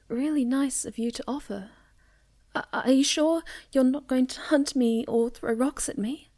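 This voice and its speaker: A woman playing a sweet, timid young moth girl in an ASMR roleplay, speaking softly and breathily with hesitant stammers. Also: a little high. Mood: wary, timid. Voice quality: soft, breathy, often near a whisper.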